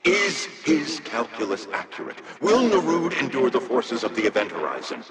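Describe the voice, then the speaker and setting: Desperate bossy alien seeker in a game log, formal, swings up and down, big reverb. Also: male voice, mid-range voice, sing-song, normal speed, noisy background. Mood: angry pleading. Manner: formal, commanding, impassioned. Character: imperious, desperate alien priestly seeker demanding answers. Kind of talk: dramatic video game audio log. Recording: echoey hall with long reverb.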